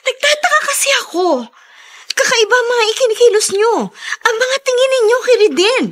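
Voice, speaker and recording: An actress playing an anguished, suspicious young wife in a melodramatic Filipino radio drama, with a tearful, emphatic manner. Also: female voice, extremely high pitch, lively intonation, moderate pace. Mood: suspicious confrontation.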